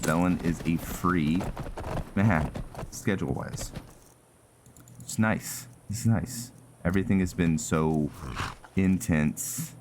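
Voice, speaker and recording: A man's voice: sits low, slow pace, long pauses, background noise. A man giving casual, conversational let's-play commentary, musing aloud as he plays. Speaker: gaming streamer, host.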